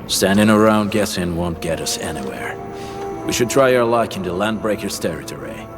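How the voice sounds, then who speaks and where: Deep-voiced, blunt mercenary. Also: male voice, animated, normal speed, music in the background. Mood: blunt, decisive. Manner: blunt, terse, matter-of-fact. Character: stern, seasoned male mercenary tracker, blunt but protective. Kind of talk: video game cutscene acting.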